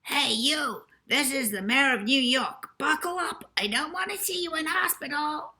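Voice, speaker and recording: A woman voicing a tiny recorded message of a bossy mayor shouting at taxi passengers, in a storybook reading. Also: high voice, normal speed, pitched above the performer's own voice.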